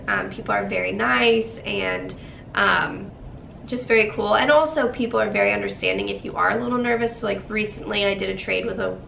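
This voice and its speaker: A woman's voice: mid-range voice, vocal fry, sharp tone, reverberant, far from the mic, slight background noise, poor audio. A young woman with a stuffy nose from a cold, chatting casually to camera, with lots of ums and likes. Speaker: vlogger.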